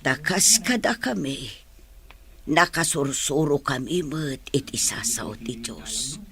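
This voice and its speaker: A woman playing a devout elderly grandmother in an Ilocano radio drama, with a creaky, aged voice. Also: a bass voice, expressive, slow pace, a bit bright, full character voice. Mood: pious, tender. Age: older.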